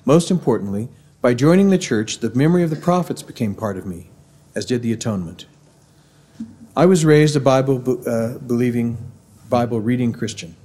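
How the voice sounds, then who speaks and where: A man's voice: mid-range voice, warm tone. Earnest middle-aged man at a podium. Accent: Missouri. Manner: measured, earnest lecturing. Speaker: university speaker. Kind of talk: formal devotional address. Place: large university auditorium. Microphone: podium microphone.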